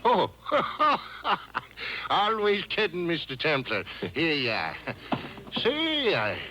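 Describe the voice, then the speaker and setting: An actor playing a chatty, rough-edged bartender in a 1950s radio drama, talking in tough-guy slang. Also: a little high, wildly swooping pitch, slow, bright, forceful tone, faint music behind, full character voice. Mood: chatty, gossipy. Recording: dull old radio tape.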